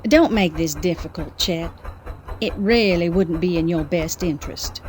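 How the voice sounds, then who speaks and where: A woman's voice: on the low side, a little slow, darkish tone, slight background noise, natural voice. An actress playing a cold, domineering businesswoman in an audio drama, condescending and cutting. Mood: cold, threatening. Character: haughty, cold, domineering femme fatale businesswoman.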